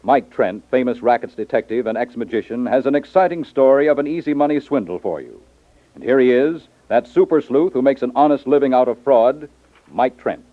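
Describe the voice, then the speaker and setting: A man's voice: medium pitch, a little fast, dry recording. A man announcing a 1950s radio drama series in a dramatic scripted style. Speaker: show announcer. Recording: thin, narrow-band old radio recording. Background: brass music.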